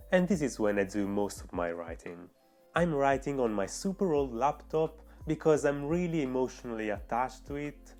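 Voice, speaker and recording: A man's voice: on the low side, a little mellow. A man with an Italian accent, a non-native English speaker, narrating his own writing vlog in an earnest, chatty way. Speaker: writing vlogger. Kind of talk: scripted vlog voice-over.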